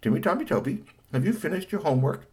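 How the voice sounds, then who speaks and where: A practical storybook mother, voiced by a man, firm and no-nonsense. The pitch sits raised, with lively emphasis. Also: fast talker, lightly acted. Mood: stern. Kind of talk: children's storytelling character voice.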